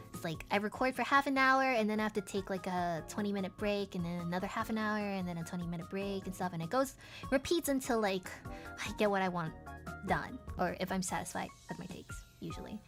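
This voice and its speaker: A woman streamer chatting casually with viewers, rambling with plenty of fillers and little giggles, her voice sounding tired and strained. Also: mid-range voice.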